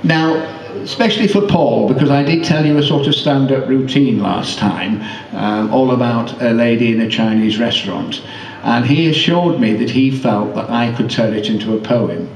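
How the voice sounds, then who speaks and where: A man performing his own comic rhyming poem as a measured storyteller. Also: mid-range voice, gravelly. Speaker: poet performing own work. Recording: echoey room, distant microphone.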